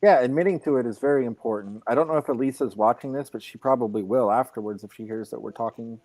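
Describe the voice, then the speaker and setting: A man's voice: medium pitch, quick pace, a crowd in the background, poor audio. A man co-hosting a casual livestream, chatting loosely and reading messages out to the other hosts. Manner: reads viewer texts aloud flatly. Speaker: livestream co-host.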